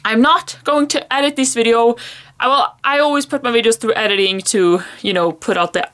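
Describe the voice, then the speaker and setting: A woman's voice: fairly high pitch, brisk, fairly bright tone. A young woman whose first language is Swedish, chatting to camera in a rambling, self-conscious way with frequent small laughs. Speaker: planner vlogger. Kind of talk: casual talk to camera.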